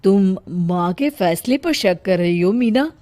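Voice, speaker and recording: A woman's voice: medium pitch, animated, a little slow, dark tone, no room sound, pitched below the performer's own voice, natural voice. A woman voicing a stern, scolding young husband and elder brother in a cartoon, curt and reproachful.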